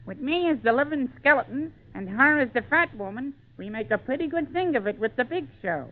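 High-voiced, meek, skinny circus man. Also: animated, a little fast. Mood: meek, doting. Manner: folksy, mild, doting. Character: meek, amiable living-skeleton circus man, henpecked husband. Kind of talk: old-time radio drama acting. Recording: tinny band-limited 1940s radio recording.

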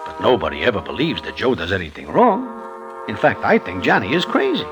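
Animated New York street-smart storyteller. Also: male voice, fairly low pitch, brisk. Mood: confiding narration. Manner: formal slangy present-tense speech without contractions. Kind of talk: 1950s radio acting, scripted narration. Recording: old radio recording with music behind.

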